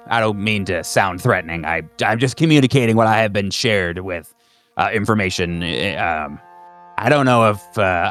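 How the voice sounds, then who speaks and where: A man's voice: lowish voice, a bit bright, lightly acted. A man playing a nervous handler in a roleplaying game, stammering and evasive as he makes veiled threats. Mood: halting, placating.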